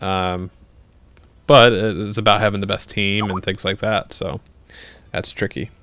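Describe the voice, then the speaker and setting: A man's voice: on the low side, level tone, a little slow, a bit bright, smooth. A young man hosting a livestream, chatting casually as he answers viewer questions, rambling with lots of fillers and restarts and laughing easily. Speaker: livestream host.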